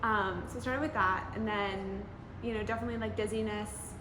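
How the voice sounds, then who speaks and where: A woman's voice: medium pitch, fairly even intonation, brisk, echoey room, noisy background. An eighteen-year-old woman answering interview questions in a chatty way, with lots of fillers.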